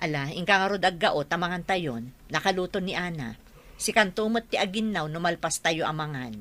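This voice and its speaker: A woman's voice: on the low side, a little fast. A woman playing a middle-aged mother in a radio drama, chiding and exasperated with her daughter. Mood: firm. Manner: chiding, expressive. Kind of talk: Filipino radio drama acting.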